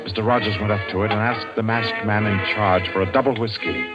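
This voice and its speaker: A man with a very low voice narrates a suspense tale in old-time radio fashion. His delivery is measured and deliberate. The dull, band-limited recording carries clear music behind him. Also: fairly even intonation.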